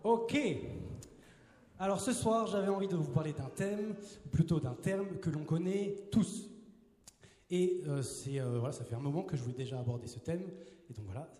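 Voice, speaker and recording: A man's voice: on the high side, lively intonation, moderate pace, mellow. Playful young man preaching in a hall. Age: 20s. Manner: playful, chatty, asks the crowd questions. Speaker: youth preacher. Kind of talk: casual joking sermon to a youth crowd. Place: large hall with a live audience. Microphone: handheld mic. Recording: roomy hall reverb.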